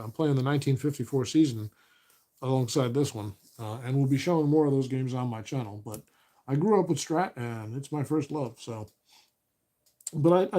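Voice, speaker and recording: A man doing play-by-play of a tabletop baseball replay as host, relaxed and correcting himself as he counts stats aloud, his voice getting a little raspy. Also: mid-range voice. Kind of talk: play-by-play commentary of a board game replay.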